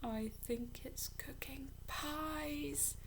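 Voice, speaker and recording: A woman voicing frightened teenagers in a storybook, in a hushed, breathy, fearful whisper. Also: high-pitched, extremely slow, a little hiss or hum, voice pushed up, full character voice. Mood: terrified whisper. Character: frightened teenage children whispering in a monster's cave.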